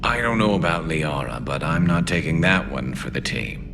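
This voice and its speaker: An actor playing a seasoned, sardonic spaceship crewman in a video game, gravelly and dryly humorous. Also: very deep voice, expressive, normal speed. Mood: joking. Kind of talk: video game dialogue acting. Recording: clear background noise.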